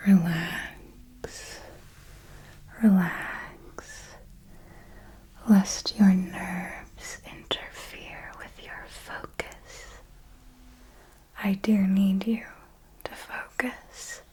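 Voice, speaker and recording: Female vampire mentor, acted by a woman for ASMR: elegant, commanding, teasing, very slow breathy whispering. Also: medium pitch, level tone, mellow, full character voice. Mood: seductive, teasing. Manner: slow, deliberate, velvety, formal and teasing.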